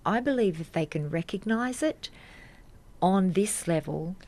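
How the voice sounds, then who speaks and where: A woman's voice: lowish voice, lively intonation, measured pace, a little mellow. An Australian woman, a teacher, explaining earnestly in a radio interview with emphatic repetition. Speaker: drama teacher, interview guest. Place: radio studio.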